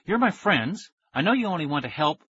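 A man acts out an excitable, cowardly boy in a children's storybook. The pitch is pushed up and slightly husky. He sounds urgent, pleading and exasperated with everyone around him. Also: brisk, dry recording, dull audio, heavily acted. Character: excitable, anxious boy, cowardly but stubborn.

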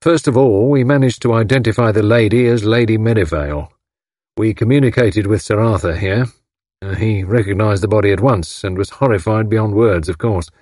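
A man voicing a solemn police inspector in an audiobook, speaking in a courteous, firm, measured and official way. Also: fairly low pitch, a little mellow, dry recording, natural voice. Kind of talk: audiobook character acting.

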